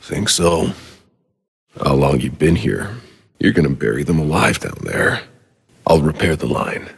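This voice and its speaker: Very deep, gravelly game soldier. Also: male voice, sing-song, unhurried, full character voice. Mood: grim. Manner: terse, dry, hard-bitten, swearing. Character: gruff, weary, sarcastic male Outrider soldier on a hostile planet. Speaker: video game protagonist. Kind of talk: dramatic video game voice acting.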